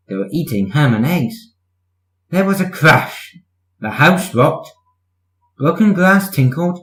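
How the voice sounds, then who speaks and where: A man's voice: mid-range voice, reverberant, rough recording. A man reading a children's story as scripted narration, in the manner of a storyteller. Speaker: story reader.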